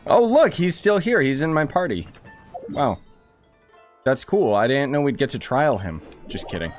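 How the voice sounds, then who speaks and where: Casting: male let's-play host, medium pitch, offhand and sarcastic, soft game music in the background. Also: big pitch swings, normal speed. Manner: wry, sarcastic asides. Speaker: gaming streamer. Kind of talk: casual playthrough commentary.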